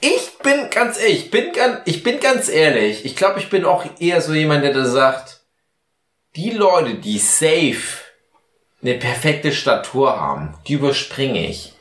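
A man's voice: a little high, very animated, measured pace, a bit bright. A tipsy man co-hosting a podcast, rambling in a mock-philosophical way during a casual chat. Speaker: podcast co-host. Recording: echoey room.

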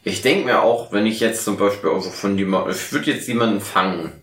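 A man with a German accent chatting casually as a podcast host, halting and drily joking, a bit tipsy. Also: fairly low pitch, a bit bright. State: drunk. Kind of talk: casual comedic podcast banter. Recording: echoey room.

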